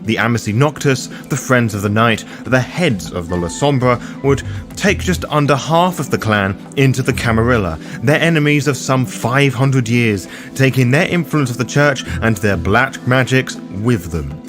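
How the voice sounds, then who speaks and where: Guy narrating a scripted lore guide, normal pitch, music pretty loud behind him. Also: expressive, moderate pace. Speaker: host of a tabletop roleplaying lore guide. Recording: voice over loud music bed.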